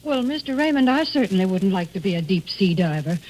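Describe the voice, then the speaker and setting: A woman giving a homey, chatty sales pitch in the folksy style of 1940s radio advertising. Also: sing-song, brisk, a little mellow, poor audio. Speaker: commercial spokeswoman on a radio show. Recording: old 1940s radio broadcast.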